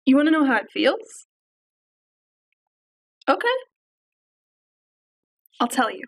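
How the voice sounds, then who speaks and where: A woman playing a cold, detached killer who speaks in a quiet, halting, hushed way. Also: high-pitched, big pitch swings, gravelly, slightly rough, recorded from a distance, exaggerated voice. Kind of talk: audition monologue.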